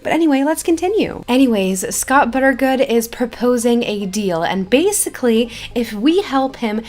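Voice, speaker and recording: A woman giving casual, chatty and expressive gameplay commentary as a video creator. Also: fairly high pitch, moderate pace. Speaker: video game YouTuber. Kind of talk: casual gameplay commentary, reading quest dialogue aloud. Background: background music.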